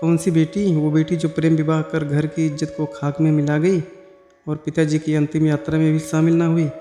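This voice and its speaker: Male narrator as a bitter brother in a family tale, taunting yet flat in pitch, with hall reverb. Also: normal speed, natural voice. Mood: scornful. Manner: taunting, scornful. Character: bitter, resentful adult brother in money trouble. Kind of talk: moral story narration. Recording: echoey hall-like reverb over music.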